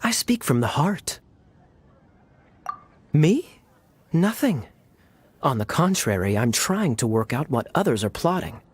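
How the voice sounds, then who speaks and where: A man playing a suave, sly knight captain in a video game, smooth and teasing with theatrical pauses. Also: fairly high pitch, very animated, full character voice. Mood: teasing, flattering. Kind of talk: scripted video game dialogue.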